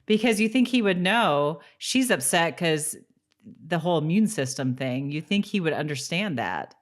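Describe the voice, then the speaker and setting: A woman's voice: deep voice, expressive, moderate pace. A woman co-hosting a casual TV rewatch podcast, chatty and agreeable in manner. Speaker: podcast co-host. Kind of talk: casual TV rewatch chat with read-out episode summaries.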